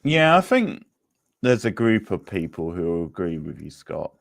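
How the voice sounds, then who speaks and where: A man's voice: deep voice, big pitch swings, unhurried, gravelly, no room sound. A man hosting a livestream quiz, chatting casually with viewers in a laid-back, conversational way. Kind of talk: casual live chat with viewers.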